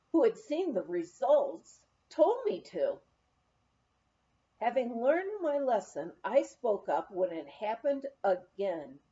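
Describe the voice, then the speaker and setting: A woman reading a memoir aloud in measured, deliberate phrases with pauses. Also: fairly high pitch, dark tone, muffled recording. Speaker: audiobook reader.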